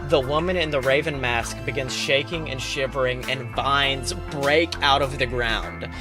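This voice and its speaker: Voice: medium pitch, fairly even intonation, unhurried, over music. A game master narrating a tabletop adventure in an informal, improvised way, swearing casually. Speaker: game master and podcast host.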